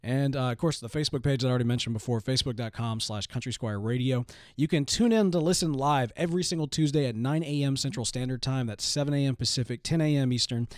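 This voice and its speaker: A man co-hosting a podcast, talking in an animated, casual way with lots of fillers and restarts. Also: mid-range voice, fast talker, dry recording, clean studio sound. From Southern US. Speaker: podcast co-host. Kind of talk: casual chat.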